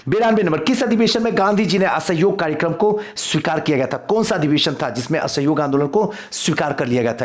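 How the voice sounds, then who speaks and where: Guy coaching exam students online, highish voice that swings up and down a lot, really emphatic. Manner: emphatic, repeats questions and answers. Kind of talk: quiz lesson delivered to online viewers.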